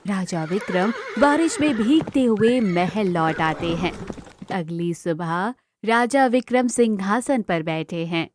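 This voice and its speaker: A woman's voice: medium pitch, animated, slow pace, noisy background. A woman narrating a scripted children's moral story as the storyteller.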